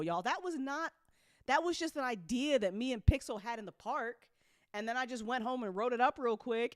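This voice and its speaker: A woman's voice: on the high side, a bit bright, dry recording, clean studio sound. A woman hosting a casual, chatty recap for her community, effusive and freely sweary, often repeating phrases for emphasis. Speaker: host, audio drama creator.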